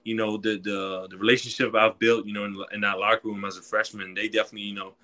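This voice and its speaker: Fast-talking young man. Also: fairly low pitch. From Quebec, Canada. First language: French. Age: 20s. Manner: relaxed, many fillers like "you know". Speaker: guest, college football player. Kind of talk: casual interview talk.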